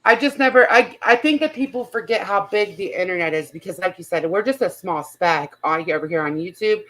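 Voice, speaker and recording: A woman's voice: lowish voice, expressive, fairly quick, fairly bright tone, poor audio. A woman host in casual livestream commentary, speaking bluntly and swearing often.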